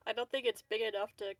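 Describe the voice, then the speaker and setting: A woman playing a tabletop game, chatting casually with the group. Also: a little high.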